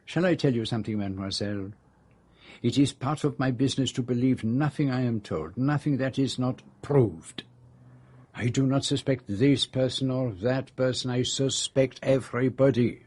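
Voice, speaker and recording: An actor in a radio drama playing a shrewd, courteous older Belgian detective, deliberate and precise, with a Belgian French accent. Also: male voice, mid-range voice, natural voice. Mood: suspicious. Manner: deliberate, precise, gently insistent.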